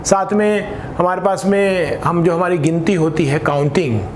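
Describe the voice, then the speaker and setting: An Indian man giving instructional talk as a voice trainer, speaking in an emphatic, deliberate way and mixing Hindi with some English. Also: steady tone. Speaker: voice trainer for radio jockeys. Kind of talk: instructional talk with spoken demonstrations. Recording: echoey room with faint rumbling noise.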